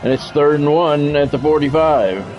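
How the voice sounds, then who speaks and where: A man's voice: on the high side, lively intonation, normal speed, dull audio, faint music behind. A man doing radio-style play-by-play of a football video game on a livestream, with swearing and greetings to viewers.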